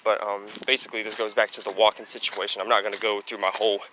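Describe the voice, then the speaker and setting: A young man with a low, booming voice rambles on, leaning heavily on fillers as he walks. He's recorded on a handheld phone outdoors, and clear street and traffic noise runs underneath him. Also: little pitch movement, fast talker, poor audio. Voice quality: loud, booming voice. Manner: rambling, heavy on fillers like "you know" and "basically". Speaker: astrology vlogger. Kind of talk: casual walking vlog monologue. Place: outdoors, walking near trees and traffic.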